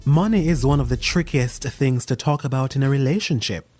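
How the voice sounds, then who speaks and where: A man presenting business news in a scripted voice-over with a crisp, measured delivery. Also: mid-range voice, a little mellow, soft background music.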